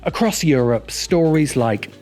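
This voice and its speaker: A man's voice: on the high side, lively intonation, unhurried, a little mellow, faint music behind. A man narrating a scripted video essay as its host, with dry, sardonic asides.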